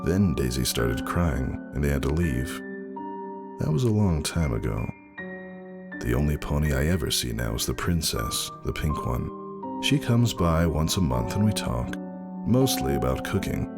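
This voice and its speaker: A man narrating a dramatic first-person story in a measured, somber manner. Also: extremely low pitch, creaky.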